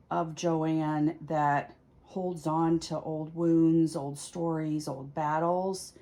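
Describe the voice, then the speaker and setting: Slow-paced woman host chatting casually, lowish voice. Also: mellow. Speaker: tarot reader and video host. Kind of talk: casual explanatory chat to camera.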